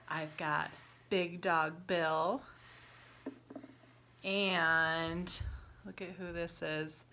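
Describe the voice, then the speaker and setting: Woman, children's read-aloud teacher. Bright, forward voice, slow simple delivery, animated storytelling with questions to the listener, faint background noise. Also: fairly low pitch. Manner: animated, acting out exclamations and sounds. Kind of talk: children's story retelling with props.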